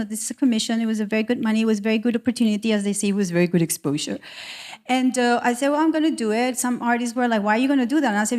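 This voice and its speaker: A woman's voice: a little high, a little mellow. A middle-aged woman from America, telling personal stories as an artist on a panel in an emphatic, candid, conversational way. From South America.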